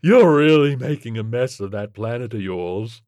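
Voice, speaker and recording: A man's voice: medium pitch, lively intonation, normal speed, a little mellow, no echo, lightly acted. A man voicing a huge tentacled alien in a story, brash, amused and breezily rude, with a folksy, profane manner. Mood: breezy, teasing.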